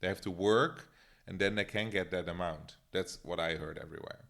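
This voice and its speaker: A man with a Dutch accent co-hosting a podcast in casual chat, playful and quick to laugh. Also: low-pitched, fairly bright tone. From Netherlands. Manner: chatty, laughs often, playful quotes. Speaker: podcast co-host.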